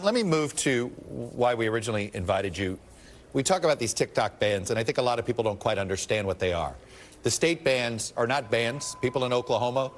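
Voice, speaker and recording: Male TV news host, mid pitch, conversational probing questions, slight background noise. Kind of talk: political TV interview.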